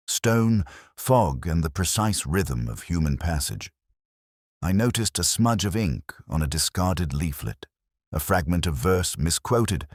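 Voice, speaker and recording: A man playing a brooding, observant police inspector, narrating an audio mystery drama in measured, literary, contemplative phrases. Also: extremely low pitch, husky, no room sound, studio quality, exaggerated voice.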